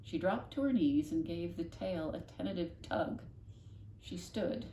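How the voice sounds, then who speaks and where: A woman reading a children's novel aloud expressively, with dramatic, deliberate emphasis. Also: on the low side, animated, warm tone. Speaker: storybook reader, classroom host. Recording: echoey room, faint noise.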